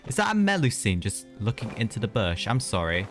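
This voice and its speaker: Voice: medium pitch, very animated, a little fast, music in the background. A game streamer giving casual, chatty live commentary with sympathetic reactions.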